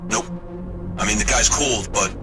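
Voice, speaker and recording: A man's voice: on the low side, normal speed, music in the background, natural voice. An actor playing a loyal, shaken crewman in a dramatic cyberpunk game cutscene. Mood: disbelieving.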